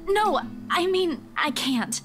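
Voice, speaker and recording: A gentle young woman with a high voice. She speaks haltingly and apologetically, in visual novel style, with clear music behind her. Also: animated, exaggerated voice. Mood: pained, apologetic. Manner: hesitant, halting.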